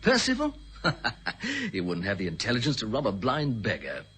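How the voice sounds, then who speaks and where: Over faint recording noise comes a glib, domineering young man from an old radio drama. His voice is bright and animated. He talks down to people in chummy, old-fashioned phrases, with a sarcastic edge. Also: lowish voice, moderate pace. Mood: mocking, scornful. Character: scornful, domineering young bachelor with a glib tongue.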